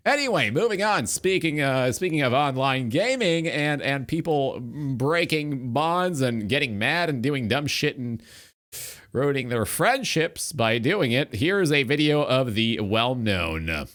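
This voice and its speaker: Casual male host, middling pitch. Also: lively intonation, a little slow, fairly bright tone. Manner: rambling, self-correcting, wry. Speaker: talk show host. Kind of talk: casual, profane show chat with loose introductions.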